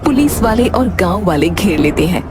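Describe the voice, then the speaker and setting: A woman's voice: mid-range voice, expressive, normal speed, music in the background. A woman narrating a scripted children's moral story as its narrator.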